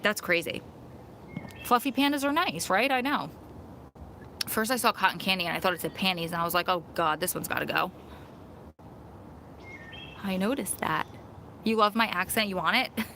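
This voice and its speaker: A woman's voice: medium pitch, lively intonation, moderate pace, long pauses, bright, forceful tone, a little hiss or hum. A woman in her thirties with a New York and New Jersey accent, chatting casually on a live stream, reading viewer comments and laughing at herself. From Long Island, New York. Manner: chatty, laughs often, self-mocking. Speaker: vlogger hosting a live Q&A.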